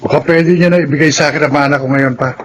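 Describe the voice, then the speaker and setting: Actor as high-voiced, defiant ex-convict man. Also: fairly even intonation, fast, a bit bright, a little hiss or hum, close to an everyday voice. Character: bitter ex-convict elder brother, confrontational, defiant. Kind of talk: Filipino radio drama acting.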